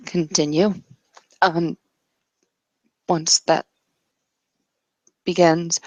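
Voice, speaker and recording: A woman improvising narration as a roleplay player, pausing often to pick her words. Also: fairly low pitch, no room sound, poor audio.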